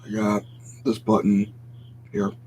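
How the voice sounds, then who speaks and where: A man's voice: on the low side, completely flat delivery, slow pace, dark tone, a little hiss or hum, rough recording. A man vlogging in a casual, rambling rant, opinionated and often correcting himself mid-sentence.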